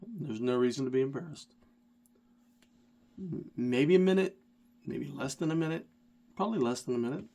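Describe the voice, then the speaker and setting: Middle-aged rideshare driver, stuffy from a cold, casual rambling storyteller with a self-deprecating streak. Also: male voice, mid-range voice, expressive, normal speed, creaky, pauses often, mellow. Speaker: rideshare driver and channel host.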